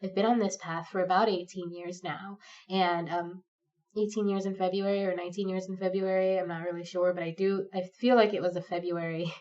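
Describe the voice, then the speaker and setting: A rambling woman in her thirties talks off the cuff, stopping on ums and likes as her thoughts wander. Her lowish voice sounds stuffed up from a cold. The recording is muffled and lo-fi, with the mic set at a distance. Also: little pitch movement, a little fast, darkish tone. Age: 30s. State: congested with a cold, sniffly. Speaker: pagan vlogger. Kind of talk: unscripted rambling monologue.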